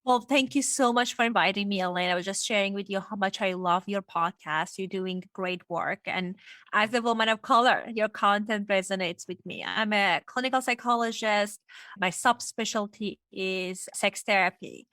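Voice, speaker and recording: A woman's voice: mid-range voice, normal speed, bright, forceful tone, no echo. A woman from Iran speaking as a psychologist guest, explaining things reflectively in an interview. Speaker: guest, clinical psychologist and sex therapist.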